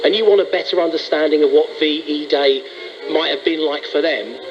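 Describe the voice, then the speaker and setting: A man's voice: fairly high pitch, steady tone, fairly quick. A British man presenting on radio in a scripted style. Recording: dull band-limited AM radio broadcast. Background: music.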